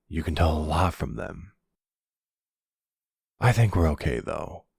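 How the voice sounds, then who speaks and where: Man acting a deep, gravelly mafia boss. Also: lively intonation, a little mellow, dry recording, clean studio sound, full character voice. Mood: tender, relaxed. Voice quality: low, gravelly. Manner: unhurried, affectionate, measured. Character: tender silver-fox mafia boss, older man, calm and devoted. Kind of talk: intimate boyfriend roleplay audio drama.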